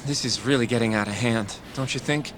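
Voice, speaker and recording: A man's voice: mid-range voice, brisk, noisy background, lightly acted. A man playing an earnest young attorney in a video game drama, courteous but firm and matter-of-fact. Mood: concerned, thoughtful. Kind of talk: English-dubbed video game drama acting.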